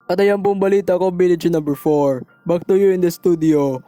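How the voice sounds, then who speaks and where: Cartoon villager correspondent, played by a man: high voice, Filipino accent, chatty running commentary, excitable. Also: a little fast, dry recording, lightly acted. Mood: reporting. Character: villager field news reporter, chatty, excitable. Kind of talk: mock news field report.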